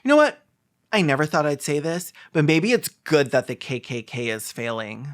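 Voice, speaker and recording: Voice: medium pitch, sing-song, moderate pace. A sarcastic reaction commentator with a snarky, mocking and exaggerated way of talking. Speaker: video essayist commentator.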